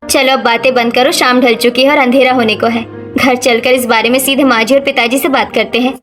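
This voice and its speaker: A woman's voice: sits high, fairly even intonation, fairly quick, a bit bright, soft background music. A woman playing a sensible, determined eldest daughter-in-law from a village in a cartoon story. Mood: earnest, practical.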